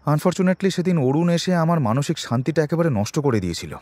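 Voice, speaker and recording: Guy acting as defensive wordy suspect in an audio drama, swingy pitch, dry close sound. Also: lively intonation, quick pace, a little mellow, dry recording, lightly acted. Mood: defensive, uneasy. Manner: wordy, self-justifying, mixes in English words. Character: defensive middle-class working man questioned by police.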